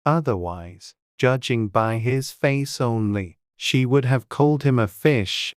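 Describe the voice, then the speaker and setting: A man narrating a storybook audiobook in a measured, scripted storytelling manner. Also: medium pitch, clear, resonant tone, no room sound, studio quality.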